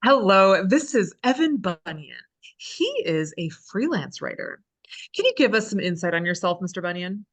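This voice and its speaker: Chipper, animated, sardonic female reality host, acted by a woman. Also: medium pitch, moderate pace. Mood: curious, brisk. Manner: presenter-like, with sarcastic asides. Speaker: actress in a script table read. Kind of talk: scripted reality show hosting at a table read.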